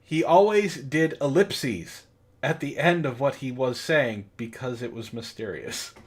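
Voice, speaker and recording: A man's voice: medium pitch, unhurried. A man reading fan fiction aloud for comedy, mocking it and breaking off for sardonic asides. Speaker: book club host and reader.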